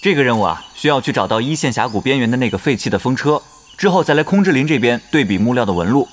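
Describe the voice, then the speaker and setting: A man's voice: medium pitch, expressive, quick pace, lightly acted. A man acting in an audio drama as an earnest young know-it-all gamer, eagerly explaining game lore.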